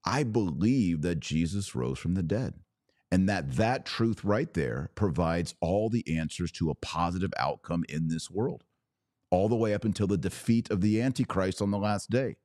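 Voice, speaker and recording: Deep-voiced American man, emphatic religious commentator. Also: expressive, normal speed, a little mellow, dry recording, clean studio sound. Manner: emphatic, preachy, punchy short phrases. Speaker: podcast host taking viewer questions. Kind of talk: impassioned religious commentary, off the cuff.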